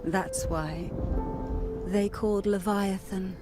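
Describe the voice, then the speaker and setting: A solemn matriarch and keeper of ancient lore, acted by a woman for a video game. She speaks very slowly and gravely, at a middling pitch. Music swells clearly behind her. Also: steady tone, natural voice. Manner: grave, measured storytelling. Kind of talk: dramatic video game voice acting.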